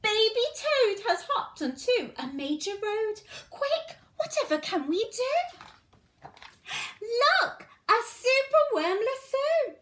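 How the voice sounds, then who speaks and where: High, swooping woman storyteller. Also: takes it slowly. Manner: animated, sing-song, exaggerated emphasis. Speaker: teacher reading a storybook to young children. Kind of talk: expressive children's storytelling. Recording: roomy, echoing indoor sound.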